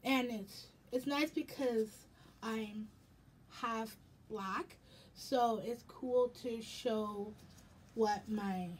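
A young American woman with a fairly high, slightly raspy voice talks casually to camera. She rambles and halts at a slow pace. There is clear background noise in the room. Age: young adult. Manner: rambling, halting. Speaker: vlogger. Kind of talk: casual haul vlog chat. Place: indoors in a home room.

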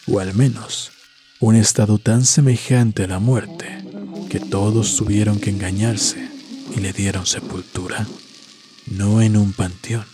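Low male narrator doing a scary story, measured n solemn, music in the back. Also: dark tone. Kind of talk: scripted horror story narration. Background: background music.

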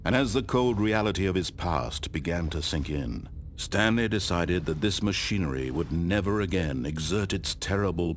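A man's voice: low voice, a little hiss or hum. A man playing an omniscient, theatrical storyteller in a video game, narrating a hero's fate in carefully enunciated, storybook style.

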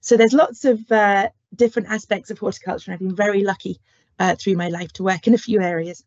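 A woman's voice: medium pitch, fairly even intonation, fairly quick, a little mellow, no echo. A woman horticulture lecturer giving an online careers talk, speaking through a cold and cough with many ums. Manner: frequent ums, friendly explanatory. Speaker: horticulture lecturer and curriculum lead. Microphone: webcam or headset on video call. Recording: dull lo-fi video call audio.

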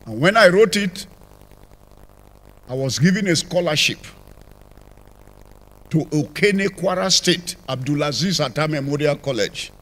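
Dramatic older Nigerian preacher. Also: male voice, on the high side, animated, unhurried, long pauses. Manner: dramatic storytelling, sudden rises and drops in loudness. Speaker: apostle. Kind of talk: testimony sermon. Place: large church sanctuary. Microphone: handheld microphone.

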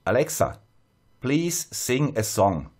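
A man with a Swiss accent narrating a scripted tutorial in a measured, plain-spoken, step-by-step way. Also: medium pitch, very animated, darkish tone. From Switzerland. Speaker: host of an electronics tutorial channel.

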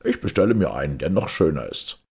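A man playing a proud castle commander and royal servant in a fairy tale, formal and courtly, with a gravelly, husky voice. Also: rumbling low, big pitch swings, brisk, a little mellow, full character voice. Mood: self-assured.

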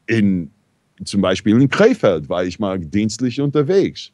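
American man telling lively, rambling anecdotes. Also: fairly low pitch, a little slow, no echo, studio quality. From New York, USA. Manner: rambling, animated, many fillers and restarts. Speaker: talk show regular. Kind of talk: casual storytelling in a discussion.

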